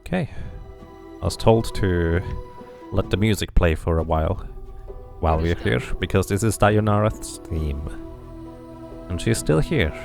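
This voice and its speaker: A man's voice: deep voice, lively intonation, unhurried, gravelly, over music. A man doing casual playthrough commentary as a game streamer, musing and thinking aloud.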